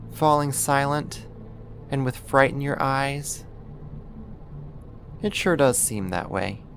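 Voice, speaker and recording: An actor playing an obsessive, possessive neighbor turned kidnapper in an ASMR roleplay, speaking in a deliberate, intimate, controlling way. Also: mid-range voice, a little hiss or hum. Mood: possessive, doting.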